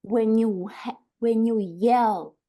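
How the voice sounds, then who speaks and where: A woman acts a bewildered character in a screenplay drama, straining to be understood through a wall. The voice has a mellow, dark tone. The words come slowly and drawn out, full of confusion. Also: fairly high pitch. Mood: confused. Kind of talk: dramatic live screenplay table read.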